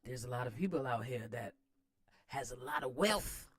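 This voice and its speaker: An American woman reading a book aloud and adding informal, slangy commentary in an expressive manner. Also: very deep voice, moderate pace, no room sound, studio quality. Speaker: host of a read-aloud series. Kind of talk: book read-aloud with casual running commentary.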